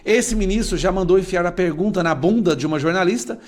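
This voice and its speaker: A man's voice: high voice, fast. A man giving outraged political commentary, speaking emphatically and directly to the viewers. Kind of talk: indignant video commentary.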